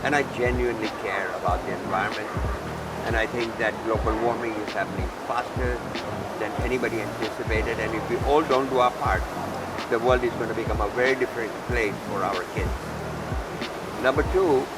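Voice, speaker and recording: A man speaks at a middle pitch with loud music running beneath him. He sounds like an executive in an interview, setting out points one by one in an earnest, deliberate way. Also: rough recording. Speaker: company executive being interviewed. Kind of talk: interview, explaining at length. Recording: music laid under the voice. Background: background music.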